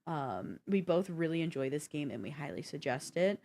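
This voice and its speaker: Casual lower-pitched woman co-hosting a gaming show. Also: sing-song, normal speed, creaky, a little mellow. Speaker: co-host of a video game podcast.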